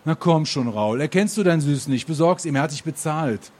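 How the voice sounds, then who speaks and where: A man voicing a rough, mocking homeless man in a literary reading, with curt, taunting lines. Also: fairly high pitch, animated, fairly quick, a little mellow, pitched above the performer's own voice. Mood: hostile, mocking.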